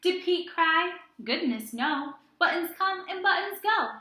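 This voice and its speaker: A woman teacher reading aloud to young children in a deliberate, sing-song way, asking questions and answering them herself. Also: extremely high pitch, animated, pushed and bright, roomy sound. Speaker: early-grades teacher.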